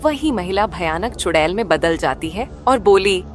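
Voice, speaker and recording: A woman's voice: on the low side, expressive, moderate pace. Female cartoon storyteller over light music. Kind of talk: scripted cartoon story narration.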